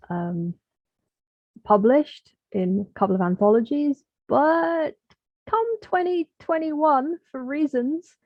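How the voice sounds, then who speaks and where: A woman's voice: medium pitch, no room sound. A British woman casually introducing herself as a podcast guest. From United Kingdom. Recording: dry, thin phone-like call audio.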